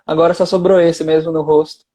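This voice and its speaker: A man's voice: on the high side, little pitch movement, a little fast, warm tone. Young man with an Amazonas accent. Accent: Amazonas Portuguese. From Amazonas, northern Brazil. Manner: courteous, speaks of faith often. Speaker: guest speaker. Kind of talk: casual chat on a live video call. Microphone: video call.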